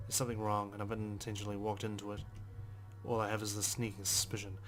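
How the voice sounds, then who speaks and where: Male game narrator, low pitch, flat intonation, brooding read-aloud, casual asides, swearing, faint music. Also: fast. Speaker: let's play host.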